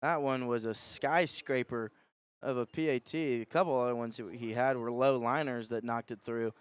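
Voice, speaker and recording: A man calling live high school football as a radio play-by-play announcer. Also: steady tone, moderate pace, clear, resonant tone.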